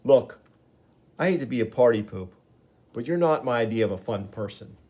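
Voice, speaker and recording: A man's voice: medium pitch, lively intonation, moderate pace, mellow, lightly acted. A man doing a wisecracking young superhero. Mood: wry. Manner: breezy, sarcastic quips.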